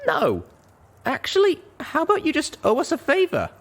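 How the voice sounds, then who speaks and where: A man's voice: very high voice, huge pitch swings, normal speed, a bit bright, voice pushed up, full character voice. A man voicing a timid, flustered young female unicorn in a story reading. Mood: awkward refusal.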